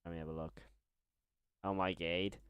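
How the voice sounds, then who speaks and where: A young man with a slight stutter, chatting excitedly on a live stream and often restarting his sentences. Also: deep voice, normal speed, clear, resonant tone, dry recording. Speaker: horror YouTuber streaming live. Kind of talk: casual live-stream chat.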